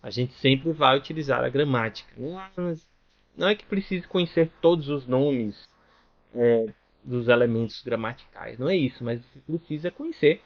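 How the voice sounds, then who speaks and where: A man from Brazil teaches an online language lesson in a mid-range voice. He explains patiently and keeps checking in with the listener. The audio sounds a little dull. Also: medium pitch. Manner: explanatory, with frequent "né?" and "tá bom?" check-ins.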